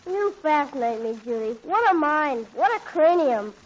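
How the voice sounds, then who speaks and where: A wisecracking kid brother full of slang. His voice is high, and the sound is dull like an old radio broadcast. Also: lively intonation, moderate pace. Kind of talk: 1940s radio acting.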